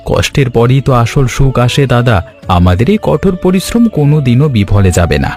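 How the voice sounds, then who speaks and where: A man voices a hardworking cartoon farmer, an earnest and agreeable friend. His voice sits at a medium pitch, with light music behind it. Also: very animated, fairly quick, a little mellow. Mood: earnest, hopeful. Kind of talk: Bengali cartoon voice acting.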